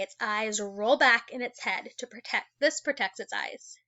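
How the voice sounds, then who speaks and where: A woman teacher reading an educational children's book aloud in an animated way, adding exclamations and wondering asides for young listeners. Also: a little high, lo-fi sound.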